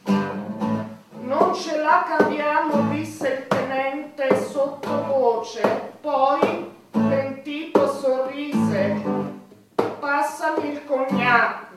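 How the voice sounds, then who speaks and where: A wistful soldier's lyrical stage monologue, high and extremely drawn out. Loud plucked guitar plays in the very echoey space. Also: female voice, expressive, very slow, far from the mic, full character voice. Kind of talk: theatrical dramatic monologue. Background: plucked guitar music.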